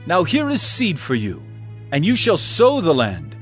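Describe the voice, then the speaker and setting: Commanding male governor, lively intonation, fairly high voice, biblical radio drama. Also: measured pace, soft background music. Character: authoritative male governor of ancient Egypt. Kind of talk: audio drama Bible acting.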